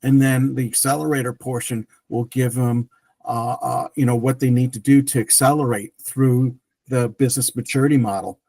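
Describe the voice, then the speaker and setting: A man's voice: mid-range voice, steady tone, normal speed, darkish tone, dry recording, rough recording. Rambling middle-aged man, very dry sound. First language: French. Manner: rambling, many restarts and fillers. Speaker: guest, tech entrepreneur. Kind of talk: casual interview storytelling.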